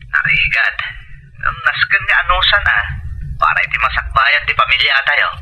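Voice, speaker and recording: A man's voice: fairly high pitch, normal speed, sharp tone, breathy edge, heavily acted, poor audio. A man playing a patient, hardworking husband calling home from abroad in an Ilocano audio drama, through a telephone effect. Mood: earnest.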